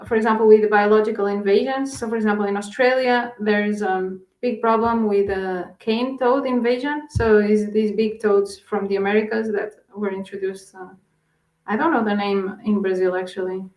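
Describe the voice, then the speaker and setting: A woman's voice: mid-range voice, fairly even intonation, normal speed, a little mellow. Portuguese-accented woman researcher, echoey sound. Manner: hesitant, many fillers and restarts. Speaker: guest researcher. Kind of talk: answering interview questions.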